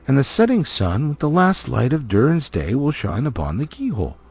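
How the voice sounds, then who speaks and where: A wise and stately elf lord acted out by a man reading a storybook. He declaims solemnly, rising and falling dramatically. The voice is husky with a gravelly edge. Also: mid-range voice, big pitch swings, normal speed, a little mellow, voice pushed up, full character voice. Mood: instructive.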